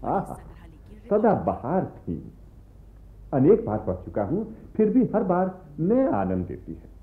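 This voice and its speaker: An actor playing a genial, bookish gentleman in a radio play, musing to himself and narrating a story expressively. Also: on the high side, wildly swooping pitch, takes it slowly, heavily acted. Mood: browsing, pleased. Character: bookish gentleman reading stories aloud at bedtime, genial. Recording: muffled narrow-band radio recording with music.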